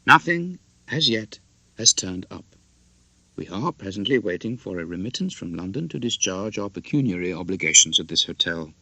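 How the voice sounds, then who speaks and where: A man voicing a genteel middle-aged wife who talks in earnest, high-flown, wordy sentences, in an audiobook. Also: very animated, normal speed, gravelly, sharp tone, husky, no room sound, full character voice, rough recording. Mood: earnest, aggrieved. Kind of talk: audiobook character acting.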